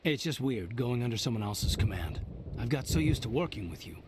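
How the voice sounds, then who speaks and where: An actor playing a dutiful, earnest young lieutenant in a video game, sincere and slightly hesitant. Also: male voice, mid-range voice, expressive, a little fast, background noise, natural voice. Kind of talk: video game dialogue acting.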